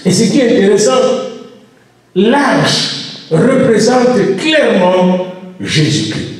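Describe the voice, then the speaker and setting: Male preacher, high voice with big swings, emphatic sermon delivery, recorded in a very echoey space. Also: darkish tone. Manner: emphatic delivery with repeated exhortations and addresses to the congregation. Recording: echoey room, distant microphone.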